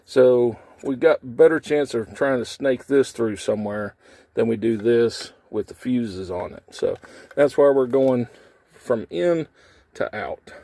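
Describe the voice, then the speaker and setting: Male DIY host, folksy and informal, mid-pitched, explaining hands-on work step by step as he goes. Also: a little slow, warm tone, dry recording. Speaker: how-to video host, trucker. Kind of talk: casual hands-on tutorial, talking while working. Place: in and around a truck cab.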